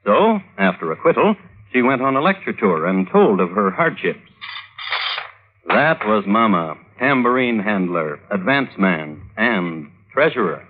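A droll connoisseur of crime, telling tales on vintage radio. His low voice moves expressively through wry, deliberate phrases. The broadcast sounds tinny and narrow. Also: big pitch swings, a little hiss or hum. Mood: wry storytelling. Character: droll, dry male crime connoisseur narrating historical murders. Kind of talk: 1950s radio storytelling.

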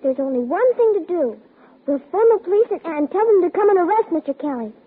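A woman's voice: very high voice, animated, fast talker. A female performer playing an earnest, stubborn young American schoolgirl in a 1950s radio drama. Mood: alarmed. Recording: scratchy, tinny 1950s radio recording.

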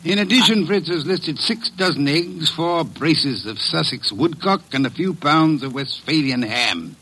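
An actor playing an imperious, fat gourmet detective with precise, formal diction in a radio drama. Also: male voice, a little high, animated, a little slow, pushed and bright, breathy edge, full character voice, low-quality recording.

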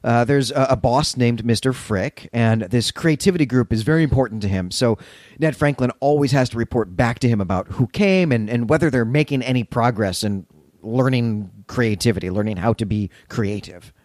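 A man's voice: medium pitch, normal speed, a little mellow, no echo, studio quality. A man co-hosting a podcast, retelling and discussing a story in an articulate, explanatory way. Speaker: podcast co-host. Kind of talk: literary recap discussion, semi-prepared retelling.

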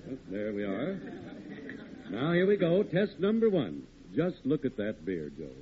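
A man announcing and pitching in a folksy 1940s radio showman style. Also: huge pitch swings, a little slow. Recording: tinny old radio recording.

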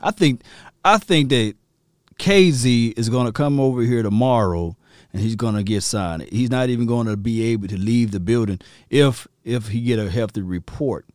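A man from Mississippi with a Southern accent, hosting a casual sports talk show and reacting with lively, laughing asides to his listeners. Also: medium pitch, normal speed, no echo, studio quality. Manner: animated, laughing, talks straight to listeners. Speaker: podcast host.

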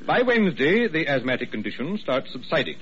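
Fussy, swooping hospital doctor. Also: male voice, on the high side, big pitch swings, brisk, fairly bright tone, husky, exaggerated voice. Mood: portentous. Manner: fussy, fretful, emphatic repetition. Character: fussy, anxious male hospital administrator doctor, deferential and theatrical. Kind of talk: 1940s radio drama acting. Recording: muffled old radio recording, faint noise.

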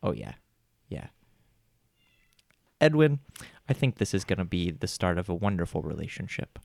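Gravelly young man, lively and chatty. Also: low-pitched, normal speed, no room sound. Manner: casual, chatty, with frequent restarts and laughs. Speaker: player. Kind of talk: in-character tabletop roleplay.

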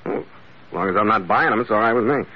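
An actor playing a laconic, steady sea captain narrating his adventures in 1940s radio drama, clipped and matter-of-fact. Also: on the low side, quick pace, background noise, lightly acted. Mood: casual, laconic. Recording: muffled old radio recording, music behind.

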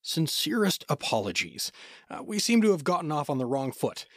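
Man as a husky, animated, flustered adventurer. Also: a little high, normal speed, no echo, clean studio sound. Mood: apologetic, flustered. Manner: folksy, flustered. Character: hapless, excitable, polite male everyman adventurer. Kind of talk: fantasy audio drama acting.

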